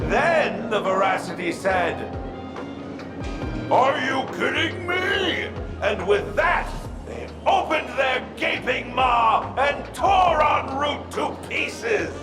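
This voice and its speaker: An actor playing a theatrical storyteller in a video game, telling tall mythic tales in a flamboyant, grandly dramatic manner. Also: male voice, very high voice, animated, slow, heavily acted. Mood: mocking, booming. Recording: echoey voice over loud music.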